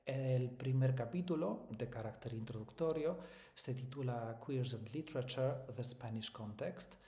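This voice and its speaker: A man from Poland, a native Polish speaker, giving a formal, measured academic book presentation as a researcher. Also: mid-range voice, warm tone. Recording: echoey room sound.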